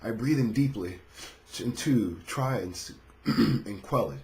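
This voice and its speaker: A man's voice: fairly low pitch, expressive, unhurried, a little mellow, slight background noise. A man narrating and commenting on a visual novel playthrough as he reads it aloud. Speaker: video game streamer reading aloud. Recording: music behind the voice.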